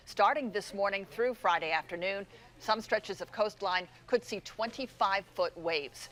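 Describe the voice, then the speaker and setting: A woman's voice: medium pitch, fairly bright tone. An American woman reading scripted news as a morning television anchor.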